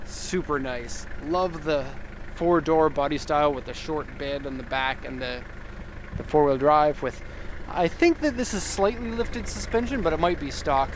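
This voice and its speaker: An upbeat, enthusiastic man in his thirties talks casually while walking around a truck outdoors. His voice sits a little high, picked up on a handheld camera mic. Clear outdoor noise runs under everything he says. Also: moderate pace. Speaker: car exporter reviewing a vehicle. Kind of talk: casual walkaround commentary. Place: outdoors in a park by a parked truck.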